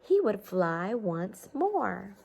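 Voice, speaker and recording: A woman's voice: mid-range voice, very animated, very slow, gravelly, a little mellow, a little hiss or hum. A woman reading a picture book aloud to children, adding simple encouraging explanations and checking questions as she goes. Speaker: storybook reader for children. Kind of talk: expressive picture-book read-aloud with chatty asides to young listeners.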